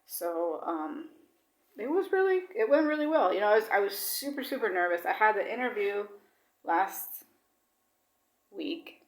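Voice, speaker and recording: A woman's voice: medium pitch, very animated, a little slow, pauses often, echoey room. A woman vlogging in casual, rambling talk with lots of fillers, now and then dropping Swedish words into her English. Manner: chatty, rambling, with many fillers. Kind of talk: casual personal chat.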